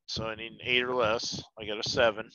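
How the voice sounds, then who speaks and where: A man's voice: fairly low pitch, flat delivery, a little fast, sharp tone, clean tone, no echo. A man playing a tabletop game, talking casually, on a slightly dull recording.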